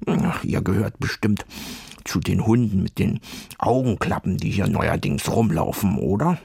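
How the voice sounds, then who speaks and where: A man's voice: deep voice, lively intonation, unhurried, vocal fry, darkish tone, slightly rough, no echo, clean studio sound, heavily acted. Man voicing a munching grumpy little guinea pig. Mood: grumbling. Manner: speaks with mouth full, munching and mumbling.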